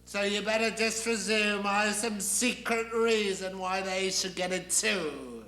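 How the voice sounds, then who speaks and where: Man doing a petulant, high-pitched, blustering God. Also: measured pace, pushed and bright. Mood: petulant, indignant. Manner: blustering, imperious, whiny. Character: petulant, bellowing, vindictive God, male, lazily all-powerful. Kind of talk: satirical stage monologue acting. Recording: echoey room.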